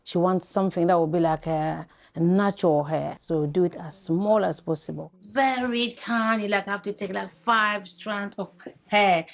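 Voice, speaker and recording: A woman from DR Congo, a hair braider, talking in an interview, animated and often laughing. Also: fairly low pitch.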